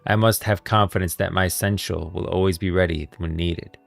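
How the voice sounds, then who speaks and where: A man's voice: deep voice, fairly even intonation, a little fast, vocal fry, dry recording. A man voicing a stern, regal king from a fantasy game, reading the dialogue aloud. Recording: music playing behind.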